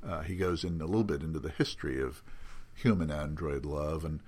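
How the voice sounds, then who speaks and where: An older man talking off the cuff about literary matters in erudite wording, halting often with ums and self-corrections. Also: extremely low pitch, darkish tone, husky. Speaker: podcast guest editor previewing magazine contents. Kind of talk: unscripted literary talk.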